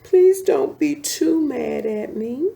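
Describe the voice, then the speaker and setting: A woman's voice: sits high, expressive, slow pace, gravelly, dark tone, pitched above the performer's own voice, full character voice. A woman voicing an earnest, loyal young male lion cub in a storybook reading, with a pleading manner. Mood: apologetic.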